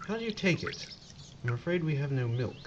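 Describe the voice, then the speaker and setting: Guy playing polite wry american army captain, animated, war audio drama, slightly muffled with faint hiss. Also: a little high, brisk. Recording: dull lo-fi recording with faint noise.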